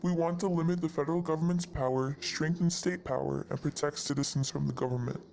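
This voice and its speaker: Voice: medium pitch, steady tone, fast, vocal fry, darkish tone, close to an everyday voice. A performer playing a confident, commanding outgoing president in an amateur history puppet show.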